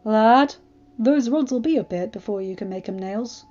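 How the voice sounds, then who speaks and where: A woman acts out a gruff old blacksmith from a storybook. He is fatherly and kind, with folksy turns of phrase and a remorseful heart. The recording has music behind it and a slightly dull sound. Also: a little high, normal speed, mellow, natural voice. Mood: inviting. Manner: folksy dialect, addressing the youngster as lad.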